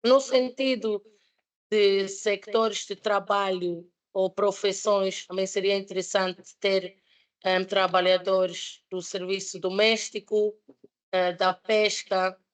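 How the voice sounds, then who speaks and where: A woman's voice: medium pitch, fairly even intonation, slow pace. A woman in her thirties, not a native Portuguese speaker, presenting a project at a panel debate with many fillers and restarts. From Switzerland. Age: 30s.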